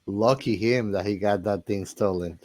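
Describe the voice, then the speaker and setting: Male, lowish voice, podcast co-host, casual film chat, informal, conversational. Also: moderate pace, darkish tone.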